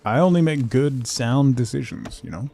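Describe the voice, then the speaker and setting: A man's voice: mid-range voice, animated, moderate pace, gravelly, mellow, faint music behind. A man giving casual solo commentary as a game streamer, musing and thinking aloud to himself.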